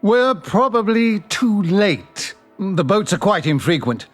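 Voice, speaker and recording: An actor playing an eager, besotted bridegroom in an audio drama, theatrical, wordy and playfully pedantic. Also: male voice, sits high, big pitch swings, slow, soft background music, exaggerated voice.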